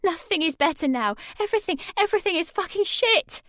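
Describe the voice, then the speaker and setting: A woman voicing a desperate pregnant teenage girl who cries and shouts. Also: high voice, little pitch movement, a little slow, no room sound, studio quality, pitched above the performer's own voice. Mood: furious. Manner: shouting, tearful.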